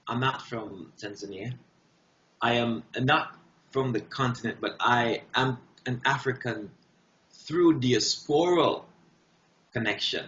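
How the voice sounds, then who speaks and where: A man speaking as an interview guest, giving impassioned, emphatic answers in short, deliberate, declarative phrases. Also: medium pitch, fairly bright tone. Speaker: guest artist. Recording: dull, roomy echoing sound.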